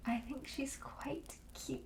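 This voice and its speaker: Soft, high-voiced, slow witch shopkeeper, played by a woman. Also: lively intonation, roomy sound. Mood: gentle, explanatory. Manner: gentle, hesitant, unhurried, drifting into whispers. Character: friendly, soothing witch shopkeeper selling curious wares. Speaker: ASMR roleplay performer. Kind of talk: soft-spoken ASMR roleplay.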